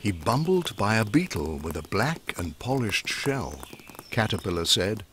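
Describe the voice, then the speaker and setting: A man with a fairly low voice reads a rhyming bedtime story for children. His intonation is lively and varied, and he follows the verse in an expressive, measured way. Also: a little hiss or hum.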